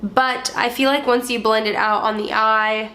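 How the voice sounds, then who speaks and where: A woman's voice: fairly high pitch, fairly even intonation, normal speed, bright, forceful tone, slight background noise. A young woman chatting casually through a product review, her voice stuffy and partly gone from a cold, with lots of filler words. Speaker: beauty vlogger.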